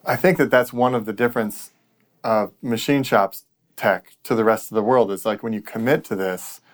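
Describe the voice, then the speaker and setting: A man's voice: on the low side, steady tone, normal speed. A man co-hosting a podcast, chatting casually with a guest and chiming in. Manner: conversational, chimes in and laughs. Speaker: podcast co-host. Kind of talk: casual interview chat.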